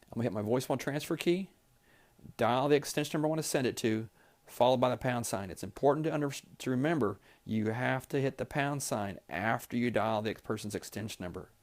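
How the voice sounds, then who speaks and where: A man's voice: medium pitch, fairly quick, a little mellow. A man giving a step-by-step, plain-spoken instructional tutorial as a trainer demonstrating office equipment.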